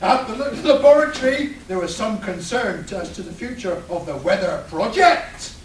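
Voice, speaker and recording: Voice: medium pitch, sing-song, normal speed, crowd noise, close to an everyday voice. A narrator reading scripted scene links for a comic radio play performed live. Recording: echoey hall, distant mic, audience noise.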